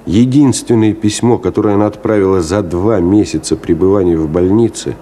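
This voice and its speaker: A man's voice: fairly low pitch, a little fast, dark tone, a little hiss or hum, close to an everyday voice. An actor playing an earnest, conscientious middle-aged man in a 1970s Soviet radio play, pleading with a friend in a hesitant, emotional way. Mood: urgent, grave.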